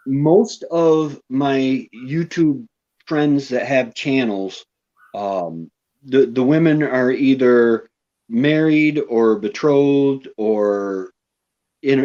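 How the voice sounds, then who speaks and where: An easygoing man hosts a live stream, restarting phrases as he works through his thoughts. His voice sits at a middle pitch for a man. The audio sounds dull and somewhat muffled, like it came through a phone. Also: darkish tone. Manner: easygoing, repeats words as he thinks. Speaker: homestead live-stream host. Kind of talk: casual live-stream chat.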